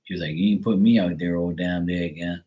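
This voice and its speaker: Man from Bermuda, stream host, loose chatty rambler, a touch low in pitch. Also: steady tone, fast talker, dark tone. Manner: rambling, chatty storytelling with frequent fillers. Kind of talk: casual chat.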